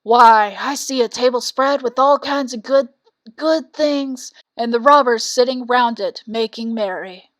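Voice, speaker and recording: A woman's voice: on the high side, measured pace, dry recording, lightly acted. A woman voicing an old donkey in a storybook, hearty and courteous, with emphatic old-fashioned speech. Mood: eager.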